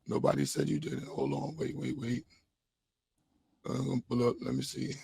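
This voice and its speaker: A man hosting a live debate and reading sources aloud, insistent and repetitive, with a slightly hoarse voice. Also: mid-range voice, sing-song, normal speed, a little mellow, slight background noise. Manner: insistent, repeats himself. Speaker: debate host.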